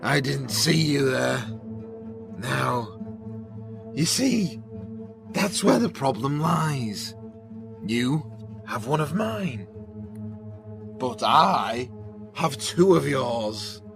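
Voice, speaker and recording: A man's voice: mid-range voice, big pitch swings, very slow, gravelly, pauses often, music in the background, heavily acted. A man playing a smug, menacing gang boss in a zombie apocalypse audio drama, taunting with false politeness. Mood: mocking, smug. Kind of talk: acted audio drama dialogue.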